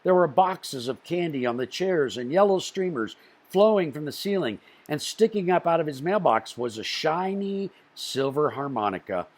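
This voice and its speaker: A man reading a picture book aloud to children, expressively, with deliberate phrasing and stressed story beats. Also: on the high side, animated.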